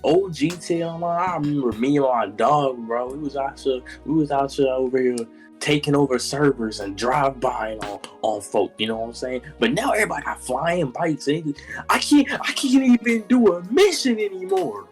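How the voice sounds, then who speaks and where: A man's voice: medium pitch, animated, normal speed, soft background music. An eighteen-year-old man co-hosting a podcast, talking in a blunt, slangy, laid-back way. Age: teens. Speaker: podcast co-host. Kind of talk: casual chat.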